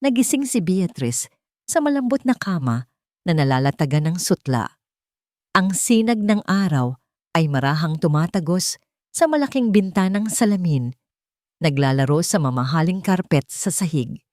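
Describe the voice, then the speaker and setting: Low-voiced woman narrating a dramatic story. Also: very animated, moderate pace, a little mellow, no room sound, clean studio sound. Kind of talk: scripted dramatic romance narration.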